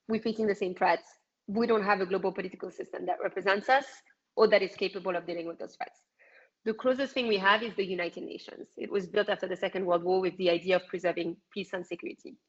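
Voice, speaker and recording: A woman with a French accent speaks bluntly and passionately in a mid-range voice, often pausing on ums. Her answers come over a video call with slightly muffled audio. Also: mid-range voice, breathy edge. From France. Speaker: activist guest. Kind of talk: casual interview answers.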